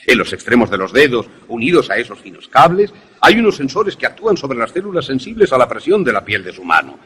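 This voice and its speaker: A man acting an enthusiastic professor in a radio drama, lecturing in a deliberate, didactic, theatrical way. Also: medium pitch, very animated, a bit bright, breathy edge, exaggerated voice. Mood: pleased, explanatory. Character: enthusiastic male professor demonstrating an invention to students.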